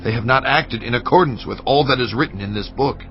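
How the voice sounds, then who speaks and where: A man's voice: medium pitch, brisk, lightly acted. An actor playing an earnest, commanding ancient king in a dramatized scripture audio, with grave, declamatory delivery. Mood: grave, urgent. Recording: slightly dull audio over faint music.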